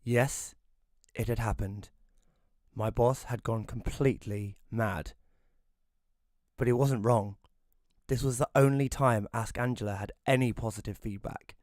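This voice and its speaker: A man's voice: medium pitch, measured pace, long pauses, darkish tone, no echo, studio quality, close to an everyday voice. A man playing a self-doubting young magazine columnist who narrates an audio drama in a wry, hesitant manner. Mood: wry narration. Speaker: narrator and lead character. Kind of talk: first-person audio drama narration and dialogue.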